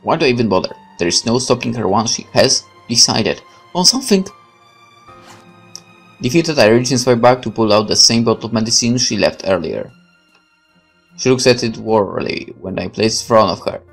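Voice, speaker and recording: A man's voice: mid-range voice, lively intonation, brisk, vocal fry, husky. A man hosting a let's play, switching between casual commentary and reading the game's narration aloud, with game music behind him. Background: video game music.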